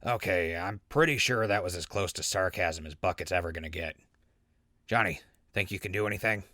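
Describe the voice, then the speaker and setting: An actor playing a sardonic, dramatic, tired wasteland wanderer with a casual way of talking in an audio drama. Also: male voice, low voice, brisk, sharp tone, dry recording, clean studio sound. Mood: wry. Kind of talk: post-apocalyptic audio drama acting.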